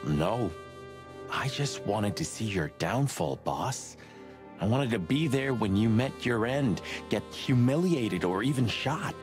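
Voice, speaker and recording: An actor playing a talkative, sardonic rooster cop sidekick in a film-noir adventure game. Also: male voice, fairly low pitch, lively intonation, slow, music in the background. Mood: confessional.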